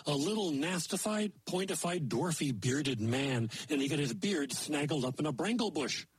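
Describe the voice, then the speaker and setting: A man's voice: on the high side, animated, moderate pace, husky, dry recording. A man voicing a folksy male moose who tells a fairy tale in playful, mangled grammar with made-up words. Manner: playful, invents silly word forms. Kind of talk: oral folktale telling.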